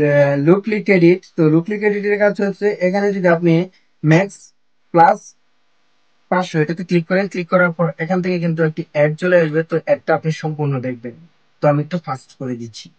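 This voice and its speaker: A man's voice: high-pitched, fast, darkish tone, lo-fi sound. A man narrating an app tutorial step by step, in a repetitive, instructional way. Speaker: tech tutorial host.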